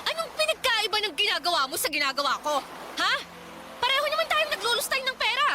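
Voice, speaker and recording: A very shrill-high Filipino wife argues back with sarcasm and resentment. She scolds and challenges in radio drama style, over clearly noisy ambient background. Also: big pitch swings, quick pace. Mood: defensive, indignant. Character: defiant, resentful gambling wife of an overseas worker.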